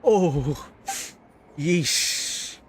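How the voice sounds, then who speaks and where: A woman's voice: deep voice, lively intonation, extremely slow, noisy background. A woman streaming a game, giving short, casual muttered reactions and echoing words from it.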